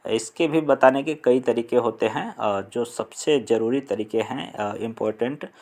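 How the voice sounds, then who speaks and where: A young man from India with a Hindi accent, explaining an English lesson slowly and deliberately, pausing on fillers. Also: mid-range voice, a little mellow. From Uttar Pradesh, India. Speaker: English teacher.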